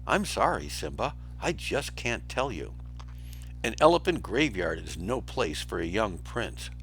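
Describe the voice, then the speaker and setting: Casting: scheming adult male lion for a storybook, read by a man, coaxing with false concern, swooping pitch. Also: sing-song, measured pace, a bit bright, breathy edge, soft background music, full character voice. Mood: sly feigned concern. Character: sly, manipulative adult male lion, villainous uncle. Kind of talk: children's storybook read aloud.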